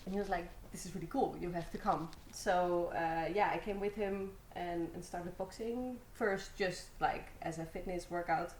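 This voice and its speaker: A young woman from the Netherlands, a Muay Thai fighter, telling her story in a relaxed, rambling way with many fillers. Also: on the low side, normal speed, few pauses, roomy sound, a little hiss or hum, poor audio. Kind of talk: casual interview answers.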